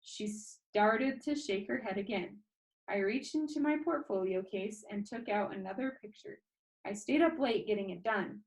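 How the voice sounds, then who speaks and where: A woman's voice: medium pitch, normal speed, low-quality recording. Woman reading a children's novel aloud. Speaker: storybook reader. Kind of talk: audiobook-style narration of a children's novel.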